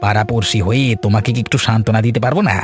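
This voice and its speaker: A man's voice: fairly low pitch, fairly even intonation, rapid-fire, soft background music, lightly acted. A man voicing a nosy, smug village neighbor in a cartoon, mocking under a show of consolation.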